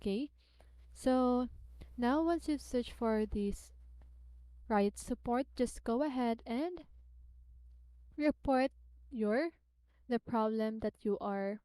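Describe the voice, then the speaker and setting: Woman doing a step by step tutorial voiceover, kinda high soft-toned mellow voice, really clean. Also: clean tone, no room sound. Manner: simple step-by-step instruction with repeated phrases. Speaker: tutorial host. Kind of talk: casual how-to screen tutorial narration.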